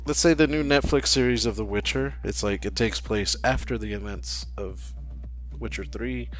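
A man's voice: mid-range voice, moderate pace, poor audio. A man co-hosting a podcast, chatting casually in an informal, slangy, matter-of-fact way. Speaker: podcast co-host. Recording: background music under the voice. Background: music.

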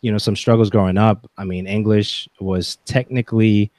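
A man in his forties talking reflectively as a podcast guest, with halting, deliberate phrasing. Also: fairly low pitch, fairly even intonation, darkish tone, dry recording, studio quality. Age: 40s. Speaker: podcast guest, gaming content creator. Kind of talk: reflective interview talk.

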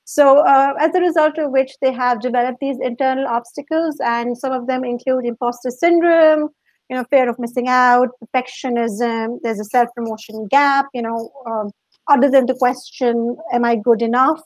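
A woman's voice: high voice, steady tone, moderate pace, dry recording, low-quality recording. A woman from Pakistan answering interview questions as a guest coach, explaining things at length.

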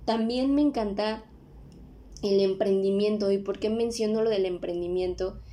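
A woman's voice: mid-range voice, fairly even intonation, normal speed, mellow. A woman in her twenties with a Mexican accent, hosting a podcast in a casual, conversational monologue with frequent tag questions. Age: 20s. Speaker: podcast host.

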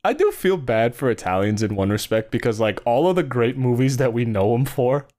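A man's voice: sing-song, fairly quick, darkish tone. A young man co-hosting a podcast, rambling through stories with lots of fillers in casual chat. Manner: rambling, many fillers, swings in pitch. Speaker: podcast co-host.

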